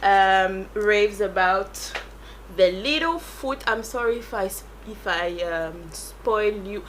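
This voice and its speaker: A woman's voice: medium pitch, animated, takes it slowly, slight background noise, low-quality recording. A French-accented woman, a native French speaker, chatting casually through a makeup tutorial and rambling as she searches for English words. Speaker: beauty YouTuber.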